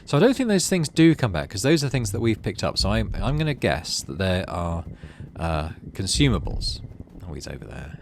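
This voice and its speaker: A man's voice: lowish voice, big pitch swings, normal speed, gravelly, hardly any pauses, slight background noise. A man doing let's play commentary on a game, reading narration aloud and thinking through choices in tentative asides. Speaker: gaming video host.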